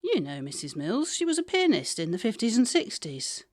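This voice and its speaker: A British actress playing a sensible, dry-witted office worker in a comedy audio drama, teasing in a matter-of-fact way. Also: female voice, mid-range voice, huge pitch swings, normal speed, no echo.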